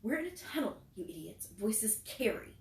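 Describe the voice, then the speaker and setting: A woman voicing a sharp-tongued teenage girl who scolds the boys and takes charge. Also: mid-range voice, a little slow, far from the mic, pitched above the performer's own voice, lightly acted. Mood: angry hissing. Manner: scolding, commanding. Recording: roomy echo, faint noise.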